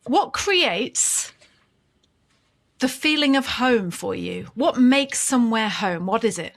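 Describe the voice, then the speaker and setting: A woman hosting a podcast, asking gentle questions and responding with warm encouragement. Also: a little high, lively intonation, slow. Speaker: podcast host. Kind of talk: casual conversational interview.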